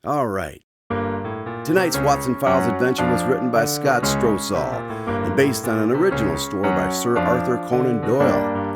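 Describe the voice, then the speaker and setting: A man playing a courteous, attentive interviewer who hosts and announces a scripted radio drama. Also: mid-range voice. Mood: formal sign-off. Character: courteous, attentive male interviewer hosting the doctor's tales. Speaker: host and announcer.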